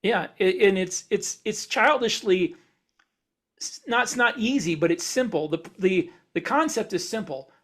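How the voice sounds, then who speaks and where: A man co-hosting a podcast, explaining ideas in casual discussion and often restating phrases in a halting way. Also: high voice, animated, fairly bright tone. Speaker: podcast co-host.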